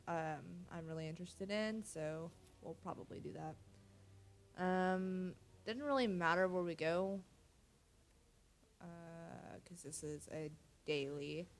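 A woman's voice: fairly low pitch, a little slow. A young American woman with a cold, casually commentating as she plays a card game at her computer, often muttering to herself. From United States. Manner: laid-back, muttering to herself while playing. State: stuffy with a cold, sniffly. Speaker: gaming channel host. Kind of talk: casual let's-play commentary. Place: home room, seated at computer.

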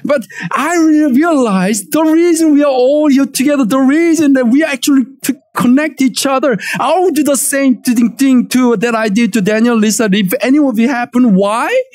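A man in his forties with a Korean accent, preaching a lively sermon from a church stage and calling for amens. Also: very high-pitched, moderate pace. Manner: animated, emphatic preaching with call-and-response. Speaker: pastor.

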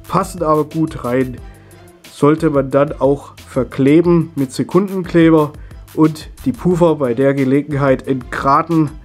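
A middle-aged man, stuffy and husky from a cold, chatting his way step by step through a hands-on hobby tutorial. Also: on the high side, a little mellow. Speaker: model railway hobby video host.

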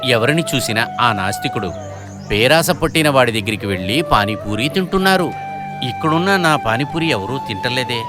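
A man's voice: mid-range voice, animated, normal speed, natural voice. A man voicing a humble, devout and poor street-food vendor, an earnest father in a cartoon moral story. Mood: troubled. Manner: earnest, pleading. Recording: loud music behind the voice.

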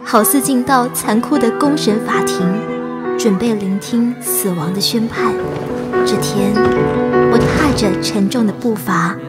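An earnest teenage girl, acted by a woman, speaks in a fairly high, emotional voice. She is a hardworking, anxious young immigrant in a radio drama, with prominent music underneath. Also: measured pace, close to an everyday voice. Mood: reflective, sorrowful. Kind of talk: radio drama acting with reflective first-person narration. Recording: music behind the voice.

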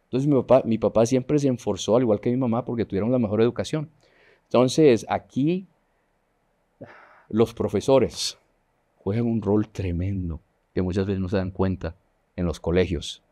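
Middle-aged man from Honduras, guest engineer, warm earnest studio voice. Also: lowish voice, moderate pace, long pauses. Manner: earnest, animated, gesturing while talking. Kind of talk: studio TV interview. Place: dark TV studio. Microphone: lapel mic.

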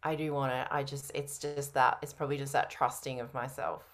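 Low-voiced woman, hesitant and candid. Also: fairly even intonation, brisk, fairly bright tone. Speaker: coaching client. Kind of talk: casual, candid answers on a call. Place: home room, on a video call.

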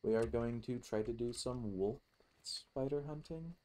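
A man's voice: medium pitch, unhurried, warm tone, slight background noise. A man giving casual, rambling let's play commentary with some swearing. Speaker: gaming YouTuber.

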